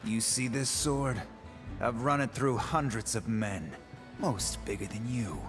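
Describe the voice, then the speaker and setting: A man's voice: mid-range voice, unhurried, over music, lightly acted. An actor playing a cocky, dismissive tavern swordsman in a video game cutscene, curt and sardonic. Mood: mocking, dismissive.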